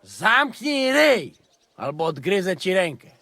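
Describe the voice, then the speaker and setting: High-pitched surly Polish grumbler. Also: male voice, very animated, measured pace, vocal fry, pushed and bright, no echo, exaggerated voice. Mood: irritated. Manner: grumbling, contemptuous. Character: surly, foul-mouthed Polish man, stubborn grumbler. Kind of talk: video game voice acting.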